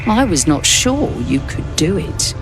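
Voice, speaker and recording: Stern female monster hunter, measured and accusing, game score behind. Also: fairly low pitch, very animated, over music, rough recording. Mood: cold. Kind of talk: video game dialogue.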